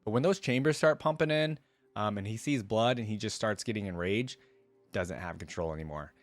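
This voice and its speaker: A man's voice: on the low side, brisk, dry recording. A man hosting a reaction video, reading a story aloud and adding casual asides.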